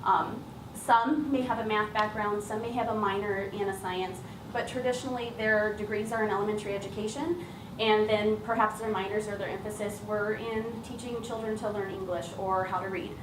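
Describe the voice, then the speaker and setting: A woman's voice: mid-range voice, fairly even intonation, fairly quick. A woman teacher giving an informal, explanatory public presentation about her work, speaking as a lead trainer. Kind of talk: public presentation, semi-prepared talk. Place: echoey room at an event. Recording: roomy, distant mic, clearly noisy background.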